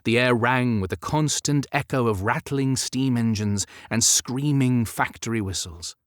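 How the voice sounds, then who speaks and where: A man narrating historical romance fiction as an audiobook, in measured, expressive storytelling. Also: mid-range voice, no echo, studio quality.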